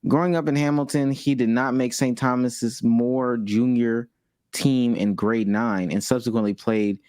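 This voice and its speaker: A man's voice: medium pitch, fairly even intonation, a little slow, darkish tone, clear, resonant tone, dry recording, studio quality. A man co-hosting a podcast, talking sports in casual group banter with a drawling, loose delivery. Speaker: podcast co-host.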